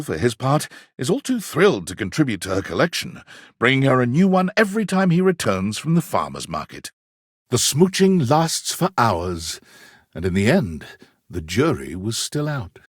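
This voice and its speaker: A man playing a suave, tender and amused game storyteller, narrating theatrically with a husky voice and savouring his phrases. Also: medium pitch, no echo, studio quality. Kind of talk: scripted second-person game narration.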